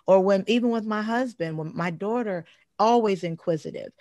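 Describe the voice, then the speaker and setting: A woman in her forties with a heavy, deep voice talks as an interview guest. She tells stories with emphasis and energy, leaning on repeated phrases. The sound is close and dry, with no room around it. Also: normal speed, darkish tone, no room sound. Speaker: guest speaker and life coach. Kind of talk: casual interview conversation.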